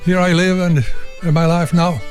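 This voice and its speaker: An older man from Sweden with a Swedish accent, chatting calmly at home as an interviewee and pausing to find his English words. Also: high voice, faint music behind. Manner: calm, plain-spoken, pauses to find English words. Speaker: interviewee, retired army officer. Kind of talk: casual chat at home. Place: indoors, home library.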